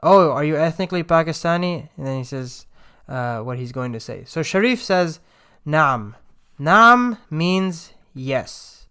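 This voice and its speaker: A man's voice: a little high, expressive, measured pace, bright, forceful tone, smooth. A young man teaching Arabic phrases from a textbook, patient and explanatory, often checking in with "right?" and "okay?".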